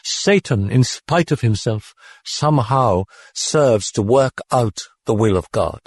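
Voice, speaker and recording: A man's voice: mid-range voice, animated, slow, no room sound, clean studio sound. A man voicing an older, weary priest in an audiobook, earnest and brooding.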